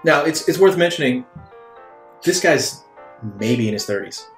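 A man narrating a tabletop game as game master, feeling his way through improvised descriptions in halting phrases. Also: a little high, expressive. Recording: roomy sound with faint music.

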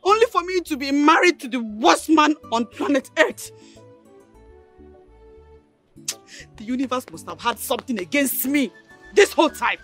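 A woman's voice: high voice, expressive, brisk, a bit bright, soft background music. An actress with a Nigerian accent playing a defiant, distraught woman in a family melodrama, repeating her words for emphasis. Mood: bitter, lamenting. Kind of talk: screen drama acting.

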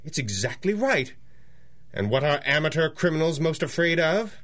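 Confident, commanding masked hero. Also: male voice, a little high, big pitch swings, a bit bright. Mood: resolute, explaining. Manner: measured, articulate, earnest. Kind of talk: 1930s radio acting.

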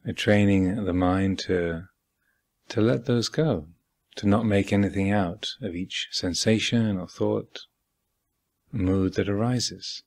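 A man with a husky voice guiding a meditation as a teacher, speaking calmly and deliberately with long pauses. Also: fairly low pitch. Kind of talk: guided meditation instruction. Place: meditation hall at a retreat.

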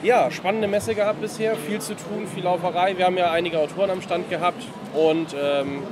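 A man's voice: high voice, steady tone, brisk, noisy background. A young man answering casually as an interview guest at a fair booth, searching a little for words. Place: book fair booth in a large hall. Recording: loud trade fair crowd din.

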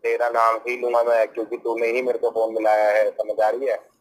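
A man's voice: mid-range voice, monotone, brisk. A young man with a North Indian accent, scolding and confrontational in a heated argument over a phone speaker. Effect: phone call on speaker. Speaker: prank call recipient.

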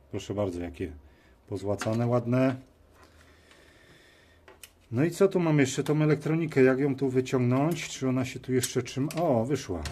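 A man giving informal running commentary as he works, muttering to himself in short phrases. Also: medium pitch. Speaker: video host. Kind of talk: casual running commentary while working. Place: cluttered workshop bench, indoors. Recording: clear background noise with clicks. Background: noise and clicks of tools and parts.

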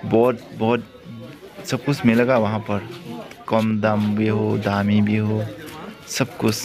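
Low, monotone man vlogging. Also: slow pace, over music. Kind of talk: casual walk-through vlog commentary. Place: outdoor street market, walking. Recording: loud busy outdoor crowd noise.

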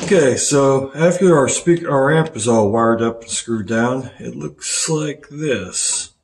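A man's voice: mid-range voice, unhurried, a little hiss or hum. A middle-aged man hosting a casual hands-on car audio vlog, thinking aloud as he goes. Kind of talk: casual hands-on install and review talk. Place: garage, car and house interior. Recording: roomy echo.

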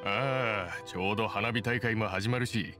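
A man's voice: lowish voice, moderate pace, lightly acted. An actor playing a loyal, easygoing boatman in an anime game, casual and plain-spoken. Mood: friendly, easygoing. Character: easygoing loyal boatman, friendly adult man. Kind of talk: anime video game voice acting. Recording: music behind the voice.

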